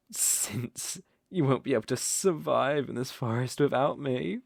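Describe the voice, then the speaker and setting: A performer voicing a smooth-talking naga captor in an ASMR roleplay, doting and sweetly sinister, coaxing with drawn-out endearments. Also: mid-range voice, a little mellow, no room sound, studio quality, heavily acted. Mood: possessive lulling. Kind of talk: ASMR roleplay, slow intimate storytelling.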